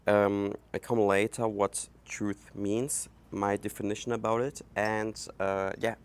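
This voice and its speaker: Slow, deep-voiced German man talking outdoors. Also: level tone, clean tone. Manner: halting, thinking aloud with many fillers. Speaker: podcast host. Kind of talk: casual solo podcast monologue. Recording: faint outdoor background noise. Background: outdoor ambience.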